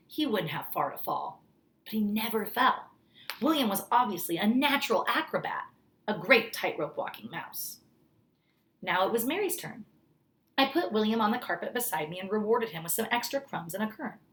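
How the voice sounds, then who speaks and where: A woman reading a children's story aloud like a teacher, expressively, pausing to explain things to young listeners. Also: mid-range voice, fairly bright tone. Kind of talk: children's story read-aloud with brief asides.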